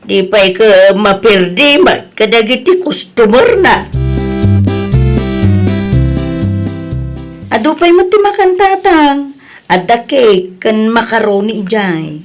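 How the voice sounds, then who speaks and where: An exasperated village hairdresser played by a woman in an Ilocano audio drama, speaking in a high voice with an Ilocano accent. She is expressive and exclamatory, quick to defend herself. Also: faint music behind. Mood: worried, chatty. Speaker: drama voice actor.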